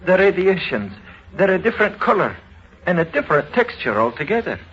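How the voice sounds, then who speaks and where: High-voiced, puzzled lab assistant. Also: male voice, very animated, fairly quick, slight background noise. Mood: hesitant, curious. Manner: hesitant, questioning. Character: puzzled, obedient male laboratory assistant. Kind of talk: 1950s radio drama acting. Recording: muffled vintage radio recording.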